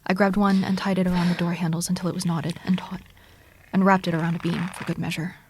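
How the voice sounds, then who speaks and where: An actress playing a frightened young woman musician on the run, telling her story in a hushed, measured, tense way in an audio drama. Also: fairly low pitch, level tone, slight background noise, natural voice. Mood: tense, grave. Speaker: narrator and lead character. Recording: music bed under voice.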